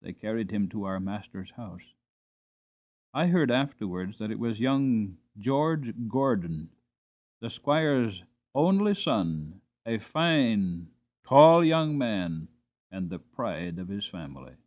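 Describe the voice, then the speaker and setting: A man narrating a storybook dramatically, with expressive, deliberate phrasing. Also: lowish voice, phone-quality sound. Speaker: audiobook narrator.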